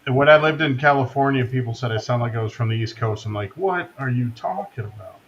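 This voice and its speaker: A man at a middle pitch speaking in an easygoing, measured manner as he shares stories with friends. His audio comes through slightly dull and lo-fi, with a faint hiss behind it. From Wisconsin. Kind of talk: casual group chat.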